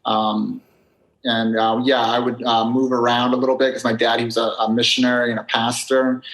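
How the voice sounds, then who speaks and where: A young American man as a podcast guest, talking casually and rambling with lots of fillers in quick bursts. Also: mid-range voice, flat delivery, fairly bright tone, low-quality recording. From United States (New Hampshire, Maine). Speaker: guest vlogger. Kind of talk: casual interview chat.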